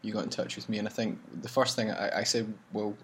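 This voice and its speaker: A man with a slightly husky, low voice talks casually and at length. He rambles, restarts his sentences, and keeps things relaxed and friendly. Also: fast. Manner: rambling, chatty, many restarts. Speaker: campaign leader and vlog host. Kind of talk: casual chat. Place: traveling campaign van.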